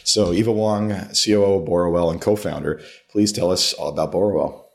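A man hosting a podcast interview with a hoarse, sore throat, chatty and quick to jump in. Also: sits low, steady tone, darkish tone. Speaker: podcast host. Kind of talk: casual interview.

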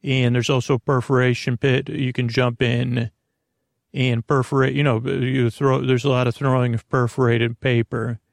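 A man with a gravelly, creaky voice, recorded close and dry. He hosts a bedtime podcast, wandering through an unscripted monologue. He is gently reassuring, often halting, trailing off and starting his phrases again. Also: medium pitch, little pitch movement, no room sound. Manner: meandering and halting, trailing off and restating himself. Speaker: host of a bedtime sleep podcast. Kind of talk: rambling, improvised bedtime monologue.

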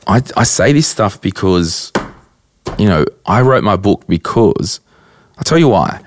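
A young Australian man with a croaky voice hosting a casual solo podcast at his desk, answering listener questions bluntly and chattily. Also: lowish voice, lively intonation, a little slow. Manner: chatty, blunt, rambling with fillers. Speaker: podcast host. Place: at a desk, indoors.

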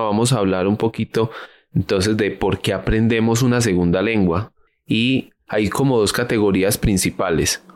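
A man hosting a podcast conversation, asking curious questions and explaining things to his guest. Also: on the low side. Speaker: podcast host. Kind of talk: casual interview conversation.

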